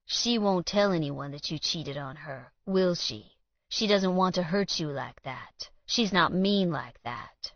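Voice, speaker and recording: A woman voicing a tough man holding back his anger, speaking in a slow, quiet drawl. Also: lowish voice, lively intonation, no echo. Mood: cold. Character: tough rough-edged young man, tightly controlled anger. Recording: dull lo-fi dry read.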